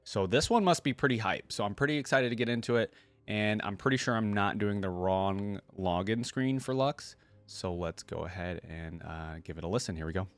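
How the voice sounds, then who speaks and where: A man's voice: lowish voice, moderate pace. A man giving casual, slangy gaming reaction commentary, thinking aloud as he goes. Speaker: reaction video host. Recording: music playing behind the voice. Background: game trailer and theme music.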